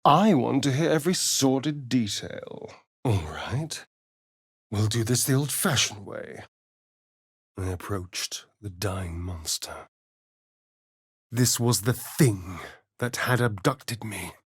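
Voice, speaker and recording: A man's voice: medium pitch, expressive, slow, breathy edge, no echo, studio quality, heavily acted. An actor playing a sly, vain, sardonic male vampire rogue in a video game, with an arch, drawling, theatrical delivery. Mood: hushed narration. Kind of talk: theatrical video game character lines and narration.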